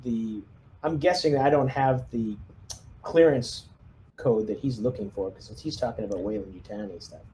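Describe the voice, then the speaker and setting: A man playing a tabletop game, asking questions tentatively and thinking out loud, with faint noise behind him. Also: mid-range voice, moderate pace, poor audio. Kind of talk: casual, hesitant in-game questioning. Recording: faint background noise, ambience.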